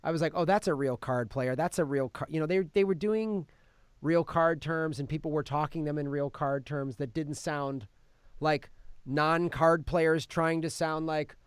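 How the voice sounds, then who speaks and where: A man hosting a casual film review chat as a comedian, telling things in an animated way with mimicked quotes. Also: on the high side, moderate pace, clean tone, no room sound, clean studio sound. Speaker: podcast host and film reviewer; touring stand-up comedian.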